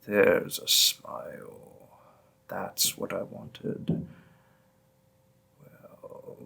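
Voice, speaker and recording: A man playing a gentle, affectionate demon boyfriend in an intimate comfort roleplay, tender and soothing, breathy in places. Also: medium pitch, exaggerated voice.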